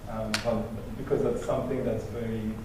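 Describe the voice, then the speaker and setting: A man from Belgium, a native French speaker with a French-tinged accent, talking on a panel with halting restarts. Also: fairly low pitch, flat delivery, recorded from a distance. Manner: halting, many restarts and fillers. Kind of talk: panel discussion. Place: event venue. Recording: echoey hall from afar, noisy.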